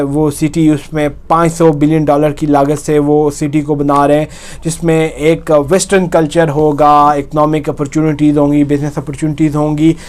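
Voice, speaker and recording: Male news commentator, Pakistani accent, smooth clear voice on the high side, explains and restates points. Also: darkish tone. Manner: explanatory, often rephrasing and repeating points.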